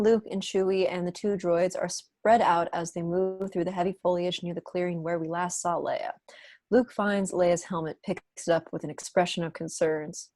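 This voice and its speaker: Female narrator for script table read, slightly low pitch, dry sound, reads scene directions. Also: a little mellow, no echo. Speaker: narrator reading stage directions. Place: indoor room at home, video call.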